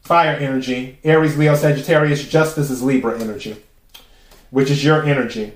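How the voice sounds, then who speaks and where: A man with a raspy voice hosting a podcast, giving a casual, chatty tarot reading and musing aloud with drawn-out pauses. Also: mid-range voice, fairly even intonation. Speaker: tarot reader and podcast host. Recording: roomy echo.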